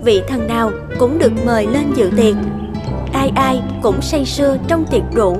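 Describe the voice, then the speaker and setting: Fairly high-voiced woman storyteller. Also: normal speed. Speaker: narrator. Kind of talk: scripted fairy-tale narration. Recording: loud music underneath.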